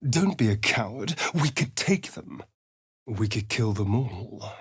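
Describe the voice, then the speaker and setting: A man's voice: medium pitch, sing-song, takes it slowly, breathy edge, no echo, studio quality, full character voice. An actor playing a sly, haughty male vampire rogue in a video game, with an arch, drawling, sardonic delivery. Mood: menacing. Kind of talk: video game character voice acting.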